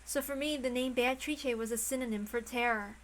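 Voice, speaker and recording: A woman's voice: a little high, a little fast, lightly acted. A woman voicing a tense, anxious and secretive mother, hesitant and self-questioning, in a visual novel read aloud. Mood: uneasy. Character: anxious, secretive adult mother, quick to scold.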